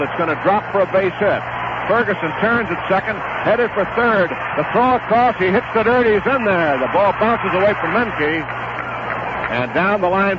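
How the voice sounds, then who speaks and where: Middle-aged man, radio baseball play-by-play, fairly high voice, muffled 1970s broadcast, audible hiss. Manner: steady relaxed play-by-play, rising excitement on big plays. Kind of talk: live baseball radio commentary.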